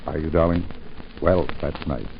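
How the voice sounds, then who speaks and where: An actor playing a cheerful, proud husband who speaks in a jovial, bluff way and is easily flustered, in 1940s radio acting. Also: male voice, a bass voice, normal speed, vocal fry. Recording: dull 1940s radio broadcast with faint hiss.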